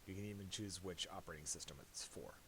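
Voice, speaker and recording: A man narrating a casual software tutorial, thinking aloud in a halting way. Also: low-pitched. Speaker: tutorial presenter. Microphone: voice-over for a screen recording. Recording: hissy amateur voice-over.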